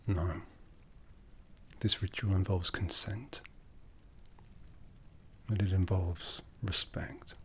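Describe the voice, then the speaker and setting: Man acting as ancient vampire lord, low-pitched, slow and deliberate, breathy half-whisper, courtly menace, ASMR roleplay. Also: fairly even intonation, a little hiss or hum. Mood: solemn, coaxing. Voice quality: breathy, hushed. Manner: deliberate, solemn, intimate. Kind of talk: ASMR roleplay, soft speech slipping into whispers.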